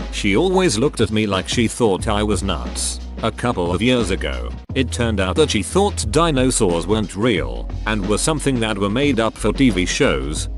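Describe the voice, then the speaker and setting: A man narrating online forum posts as a scripted reading, delivering one first-person post after another in a steady read-aloud manner. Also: fairly low pitch. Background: faint background music.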